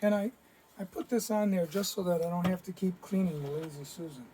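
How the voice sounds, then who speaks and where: A man's voice: sits high, fairly quick, dark tone, a little hiss or hum. A man casually explaining hobby modeling techniques step by step in a workshop. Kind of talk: casual hands-on tutorial. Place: basement workshop with paint booth.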